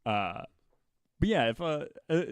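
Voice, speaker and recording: Gravelly man in joking podcast chat. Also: a little high, sing-song, unhurried, dry recording. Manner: joking, chiming in with short reactions. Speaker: podcast co-host. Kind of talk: casual comedic chat.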